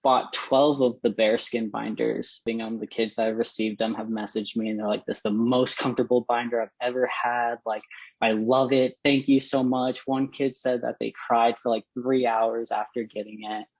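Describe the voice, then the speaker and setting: A man of twenty-one who organized a fundraiser, speaking haltingly with many fillers in a casual interview. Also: flat delivery, no echo. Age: 20s. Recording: dull, dry low-fi recording.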